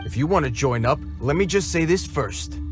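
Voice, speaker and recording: An actor playing a gruff, cocky bandit leader in a video game cutscene, tough-talking and sneering with slangy speech. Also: male voice, a little high, lively intonation, moderate pace, music in the background, close to an everyday voice. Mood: taunting.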